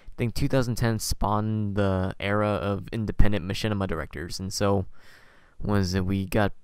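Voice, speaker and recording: Clear, low-voiced man chatting in a dry room. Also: steady tone, a little mellow, no room sound. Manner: rambling, halting, self-correcting. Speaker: podcast host. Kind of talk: casual interview chat.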